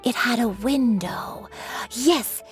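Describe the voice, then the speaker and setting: An actress voicing a gentle, forgetful old grandmother who speaks slowly and dreamily in a game. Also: fairly high pitch, faint music behind. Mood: reminiscing. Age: older. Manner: slow, dreamy and wistful. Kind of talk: game voice acting.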